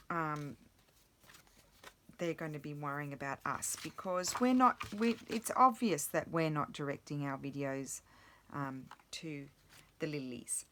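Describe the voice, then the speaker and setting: A low-voiced woman talks her way through a craft project, rambling and cutting herself off as she thinks aloud. Her voice is slightly croaky from the morning. A faint hiss sits under the recording. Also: measured pace, a bit bright. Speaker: craft vlogger. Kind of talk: casual crafting chat.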